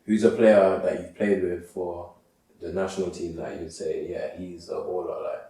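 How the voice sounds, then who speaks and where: Male podcast host, low mellow voice, easy chatty questions, echoey room, distant mic. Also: normal speed. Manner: relaxed, constant "yeah, yeah" backchannel. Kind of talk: casual interview. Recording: roomy sound from a distant mic.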